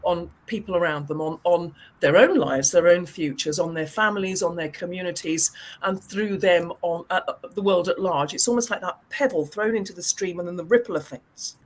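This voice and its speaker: A woman's voice: on the low side, fairly quick. A woman with an English accent talking on a video call panel, earnest and often restarting her sentences. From England. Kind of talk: casual panel chat.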